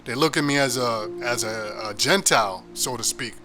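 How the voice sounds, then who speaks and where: A man's voice: medium pitch, normal speed, sharp tone, a little hiss or hum. An American man giving an informal Bible lesson, talking in a conversational, emphatic way with frequent restarts. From United States. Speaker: Bible teacher.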